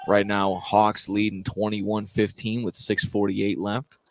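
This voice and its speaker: Male play-by-play basketball announcer, lower register, live from a noisy gym. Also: completely flat delivery, measured pace. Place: indoor high school gym. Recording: noisy gym ambience, bouncing balls.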